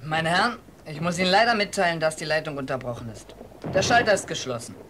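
Man acting an officious clerk, highish voice. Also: expressive, fast talker, noisy background, natural voice. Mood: formal. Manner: officious, matter-of-fact. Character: fussy, unruffled male telegraph clerk. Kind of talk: German radio play acting.

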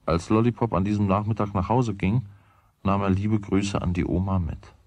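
An actor playing the storyteller, narrating a German children's radio play from a script. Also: male voice, lowish voice, steady tone, normal speed, darkish tone, no echo, lightly acted. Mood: calm storytelling. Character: male storyteller of a children's tale.

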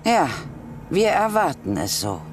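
A woman voicing a proud, stern clan elder in a fantasy game, speaking in a measured, declamatory way. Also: on the low side, big pitch swings, music in the background. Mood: solemn.

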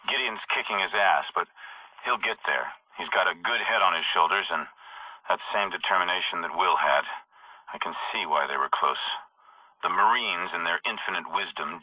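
A menacing middle-aged American military magnate from a video game. His voice is low, hard-edged and a little rough, recorded very dry. He speaks with grave, measured authority, as someone obsessed with power. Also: pushed and bright, dry recording, exaggerated voice, rough recording. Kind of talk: dramatic game monologue.

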